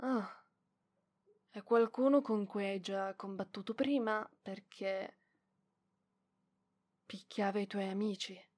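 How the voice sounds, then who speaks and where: Una donna che interpreta una giovane bibliotecaria orgogliosa e impacciata, scontrosa ma tenera, esitante e indignata, in un roleplay ASMR. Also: female voice, mid-range voice, darkish tone. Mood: subdued, apologetic. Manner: hesitant, indignant, halting with sighs. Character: proud, flustered young female librarian, prickly but softening. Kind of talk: ASMR roleplay voice acting, one side of dialogue.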